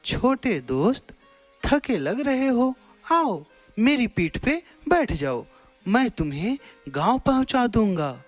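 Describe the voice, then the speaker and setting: A sly, predatory crocodile from a children's cartoon, acted by a man, in a high voice that swoops widely. He is theatrical and falsely friendly, recorded dry with faint music behind him. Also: very animated, slow, dry recording, exaggerated voice. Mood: coaxing, falsely friendly. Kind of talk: children's cartoon story acting.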